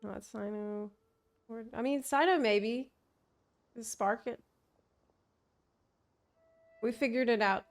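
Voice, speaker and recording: A woman's voice: a little high, takes it slowly, long pauses. A woman streamer giving casual live commentary as she plays a video game. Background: faint game music.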